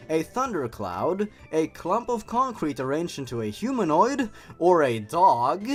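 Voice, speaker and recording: A young man hosting a review show in scripted commentary, with theatrical, sardonic emphasis. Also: on the high side. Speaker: host of a horror story review channel. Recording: music behind the voice. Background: background music.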